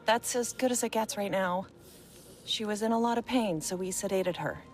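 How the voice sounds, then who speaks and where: An actress playing a strained, overwhelmed field medic in a video game, with a worried, clipped manner. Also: female voice, mid-range voice, normal speed, faint music behind, natural voice. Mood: worried, stretched thin. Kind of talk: video game character acting.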